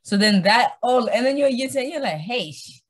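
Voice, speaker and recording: A woman's voice: on the high side, normal speed. A young woman from Australia, born in Zimbabwe, talking casually as a podcast guest and acting out bits of dialogue with colloquial slang. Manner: animated storytelling, acts out imagined dialogue, colloquial slang. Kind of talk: casual conversational interview.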